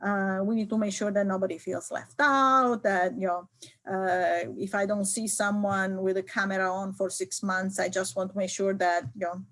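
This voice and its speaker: A woman's voice: medium pitch, little pitch movement, moderate pace, a bit bright. Hesitant middle-aged woman IT leader. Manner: hesitant, many fillers and restarts. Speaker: IT leader guest. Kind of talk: remote interview conversation.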